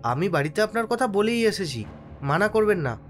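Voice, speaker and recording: A man performs a humble village helper in a horror cartoon. The voice is high for a man and swings animatedly, deferential yet cautioning. Music runs beneath it. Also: very animated, moderate pace. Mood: agreeable, humble. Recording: music under the voice.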